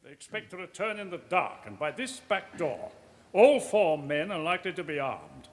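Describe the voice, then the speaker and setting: An actor playing a senior police officer delivering a formal, clipped, authoritative briefing in a radio drama. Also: male voice, high voice, very animated, moderate pace. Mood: grave, authoritative. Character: commanding male senior police officer briefing his men.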